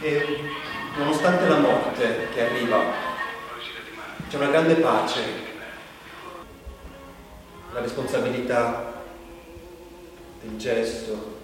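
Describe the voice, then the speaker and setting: A man acting as a reflective narrator in a bleak stage play, speaking conversationally in halting, broken phrases with pauses. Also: mid-range voice, close to an everyday voice. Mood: grave. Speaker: stage actor. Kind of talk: theatrical monologue, conversational storytelling. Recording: echoey hall, distant mic, music behind.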